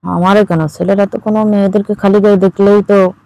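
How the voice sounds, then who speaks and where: A woman's voice: medium pitch, little pitch movement, fast, gravelly, mellow, no room sound, dull audio, lightly acted. A woman playing a teasing, suggestive lover who misses her faraway partner, chatting intimately as if on a late-night call. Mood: coy, teasing.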